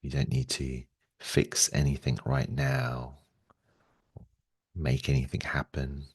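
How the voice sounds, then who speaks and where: A man leading a guided meditation in a gentle, soothing way, speaking slowly and softly with long pauses. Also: a bass voice, completely flat delivery, slightly rough, no echo, clean studio sound.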